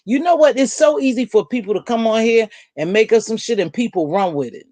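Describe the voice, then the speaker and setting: A middle-aged woman with a deep voice, hosting a casual livestream from home and chatting bluntly with viewers, calling them baby and girl. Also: fairly quick, mellow, no room sound. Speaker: livestream host. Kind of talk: casual live chat, answering viewer comments.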